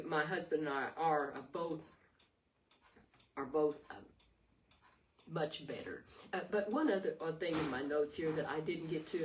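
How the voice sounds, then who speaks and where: An older woman with a low, raspy voice preaches an earnest, homespun devotion, often pausing and restarting her thoughts. She sits a little away from the microphone, and a faint hiss runs under her words. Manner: earnest, rambling with restarts and fillers. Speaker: devotional video host. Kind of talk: informal devotional sermon. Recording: distant mic with faint noise.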